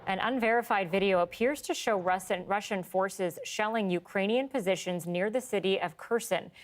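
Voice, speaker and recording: A woman news anchor reading headlines in a steady, formal delivery. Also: medium pitch.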